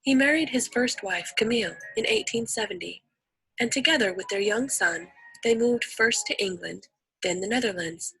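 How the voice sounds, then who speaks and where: A woman's voice: mid-range voice, animated, normal speed, creaky, pushed and bright, husky, soft background music, rough recording. A woman reading a scripted educational narration as the narrator of an instructional video.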